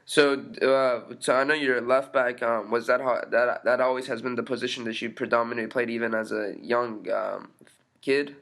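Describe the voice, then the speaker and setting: A man's voice: mid-range voice, fairly even intonation, moderate pace, a bit bright. A man conducting an interview, asking long, informal questions padded with fillers.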